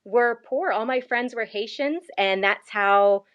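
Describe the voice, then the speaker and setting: A woman's voice: on the high side, a little slow, clear, resonant tone, highs cut off. Earnest woman telling her story. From Canada. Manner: earnest, animated storytelling with frequent laughs. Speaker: guest, missionary. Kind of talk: conversational faith interview.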